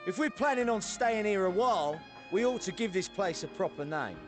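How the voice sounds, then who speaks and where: A man's voice: high-pitched, animated, moderate pace, music in the background. A man voicing a plain-spoken, practical leader of smiths in a video game, blunt and colloquial. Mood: wry, practical.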